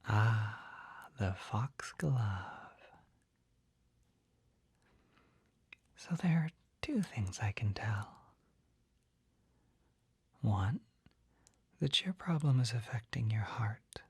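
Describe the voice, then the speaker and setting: A young man playing a shy, skittish, kindly potion shopkeeper in an ASMR roleplay, whispering gently and hesitantly close to the microphone. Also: on the low side, no room sound, full character voice. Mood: earnest, nerdy. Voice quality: breathy, whispery. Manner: hesitant, gentle, soft-spoken.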